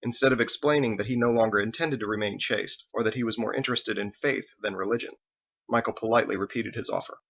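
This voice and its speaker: Bright, gravelly man narrating a story. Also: fairly low pitch, quick pace, highs cut off. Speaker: author reading his own book. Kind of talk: scripted audiobook narration.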